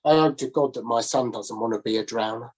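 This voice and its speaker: A woman's voice: very deep voice, fairly quick, a little mellow, slightly rough, voice pushed down. A woman voicing a shifty male sailor who talks like a wheedling salesman. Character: shifty male sailor hawking his own drowning.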